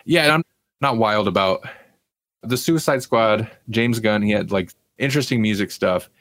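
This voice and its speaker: A man's voice: lowish voice, normal speed, a bit bright, dry recording. A man co-hosting a podcast, chatting casually about pop culture. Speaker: podcast co-host.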